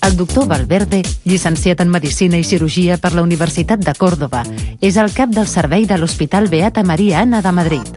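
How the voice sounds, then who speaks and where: A woman's voice: fairly low pitch, a little fast, over music. A woman reading scripted radio show announcements.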